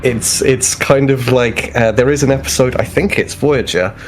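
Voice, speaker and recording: A man's voice: mid-range voice, moderate pace, loud music behind the voice. A man casually describing his character and scene as a player in a tabletop roleplaying game. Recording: clear background noise.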